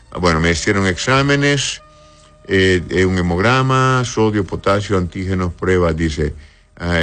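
A man speaking as an on-air doctor, reading listeners' questions aloud and answering them in a blunt, explanatory way with frequent checking tags. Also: low-pitched. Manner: explanatory, often adds a checking "right?" tag.

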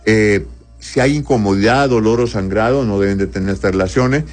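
A man hosting a call-in medical advice show, calmly explaining conditions and reading listeners' messages aloud. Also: lowish voice, fairly quick, soft background music. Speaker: radio doctor answering listener questions.

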